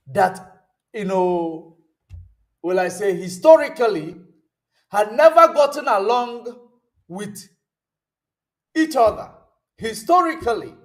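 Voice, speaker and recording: A man hosting a political commentary livestream, rambling and answering viewer comments as he goes, often stopping to search for words. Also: a little high. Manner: rambling, searches aloud for words with many ums. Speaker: host.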